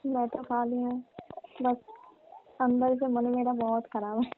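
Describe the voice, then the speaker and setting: Actress as smitten young woman, coy and possessive, higher voice, thin phone-line sound with faint hiss. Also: level tone, a little slow, close to an everyday voice. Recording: narrow tinny phone line, faint noise.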